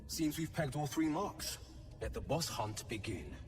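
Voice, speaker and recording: A man's voice: mid-range voice, big pitch swings, measured pace, music in the background. An actor playing a watchful, resolute male adventurer in a fantasy video game, earnest and dramatic.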